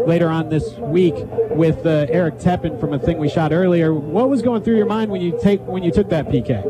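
A man's voice: fairly high pitch, fairly quick, rough recording. A man working as a sports broadcaster, asking wordy, conversational questions in a post-game interview on a headset mic. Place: press box overlooking a soccer field at night. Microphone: headset microphone. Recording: clear outdoor stadium background noise.

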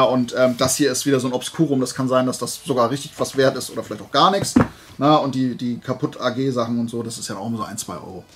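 A man's voice: medium pitch, slightly rough. A man from Germany chatting casually in a haul vlog as a collector, in a rambling, hesitant way, often correcting himself. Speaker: YouTube collector showing flea market finds.

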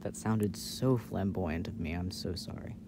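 A man doing a casual, intimate ASMR boyfriend roleplay in a soft-spoken, playful way, recorded outdoors. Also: low-pitched, measured pace. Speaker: audio roleplay performer playing a boyfriend. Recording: outdoor recording with background noise. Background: outdoor ambience and noise.

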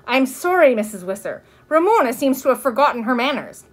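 An exasperated storybook mother, played by a woman narrator. She is firm and long-suffering, pitched a little high, and speaks to her child with worn patience. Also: lively intonation, fairly quick, natural voice. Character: exasperated, weary mother of a willful small girl.

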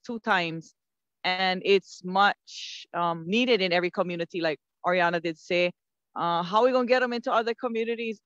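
A woman's voice: medium pitch, a bit bright, dry recording. A woman in her fifties with a Hawaiian Pidgin-tinged accent, speaking earnestly to a webinar from an outdoor field on her phone, with many "um" fillers. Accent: Hawaiian Pidgin-tinged English. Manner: earnest, frequent um fillers, slows to savor moments. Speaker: community speaker. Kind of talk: casual webinar talk. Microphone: phone held close on a video call. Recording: dry, slightly muffled video call audio.

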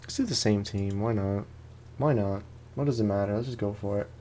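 A man's voice: fairly low pitch, brisk, dark tone, a little hiss or hum. A man doing casual let's play commentary, talking in an informal, slangy way and often repeating his phrases. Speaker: gaming streamer.

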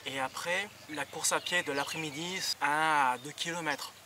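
A young man giving a casual vlog explanation, haltingly and searching for words. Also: fairly high pitch, fairly even intonation, recorded from a distance, noisy background. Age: young adult. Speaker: vlogger.

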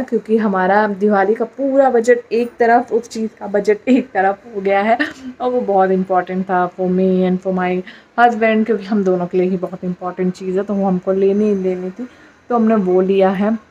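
A woman's voice: mid-range voice, darkish tone, muffled recording. A woman from India chats casually to her viewers as a vlogger, mixing English words into her talk.

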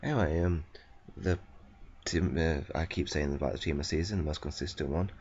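A man rambling through casual commentary on a football management game, flat and monotone because his throat feels off, swearing and correcting himself. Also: rumbling low, brisk, husky, dull audio. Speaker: gameplay commentator. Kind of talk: casual let's-play commentary.

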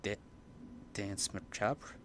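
A man's voice: fairly low pitch, slow pace, slight background noise. A man giving casual game commentary as a streamer, reacting and reading on-screen text aloud. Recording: loud game music behind the voice. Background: video game music.

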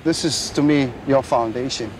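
A man's voice: medium pitch, moderate pace, background noise. A middle-aged man from Hawaii, speaking firmly and from the heart in a documentary interview on a rocky shore. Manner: firm, heartfelt and outspoken. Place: outdoors on a rocky shore by the surf.

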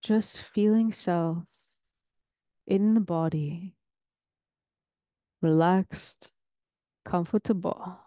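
A woman leading a guided meditation in a soothing, deliberate, ceremonial way, with long pauses between phrases. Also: on the low side, mellow, no echo, clean studio sound.

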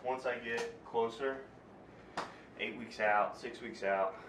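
An American man in his thirties vlogging casually indoors, pausing mid-sentence as he talks into a camera mic. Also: medium pitch, steady tone. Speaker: bodybuilder vlogger. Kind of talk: casual vlog talk to camera. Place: indoors, gym and home rooms. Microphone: camera mic at some distance. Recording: roomy, distant camera mic, clear background noise.

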